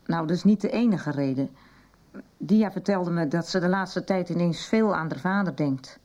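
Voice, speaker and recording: A woman's voice: lowish voice, moderate pace, darkish tone, dull audio, lightly acted. An actress playing a troubled middle-aged mother in a radio soap, guarded and ashamed about her drinking. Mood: confiding, ashamed. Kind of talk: 1990s Dutch radio drama acting.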